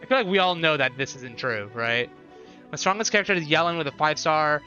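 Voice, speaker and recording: Guy live streaming a game, super bright voice, thinks out loud, bit lo-fi, soft music behind. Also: on the high side, lively intonation, a little fast. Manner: repeats short phrases, thinks aloud. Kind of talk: casual live stream commentary, chatting with viewers.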